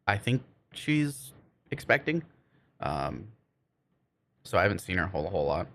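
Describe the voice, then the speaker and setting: A man's voice: sits low, very animated, normal speed, vocal fry, fairly bright tone. A man streaming a game and chatting casually with viewers, rambling and often searching for his words. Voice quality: cannot roll R's. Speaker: game livestreamer. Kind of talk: casual livestream chat.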